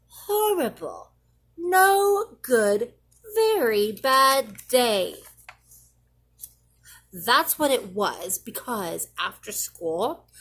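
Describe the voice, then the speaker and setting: A woman reading a picture book aloud to children, theatrically, with drawn-out emphasis. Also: on the high side, a bit bright. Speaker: children's story reader. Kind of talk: expressive read-aloud picture book narration.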